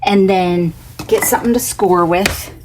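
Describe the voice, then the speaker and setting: A woman hosting a casual crafting tutorial, scratchy from a cough, chatty and homey, thinking out loud as she works at her craft table. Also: mid-range voice, a little slow. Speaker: craft channel host.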